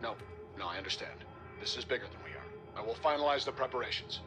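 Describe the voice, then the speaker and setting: An actor playing a stern, dutiful officer on a military comms channel in a video game, formal and official. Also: male voice, medium pitch, quick pace, over music, natural voice. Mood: uneasy, resigned. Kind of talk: video game cutscene acting.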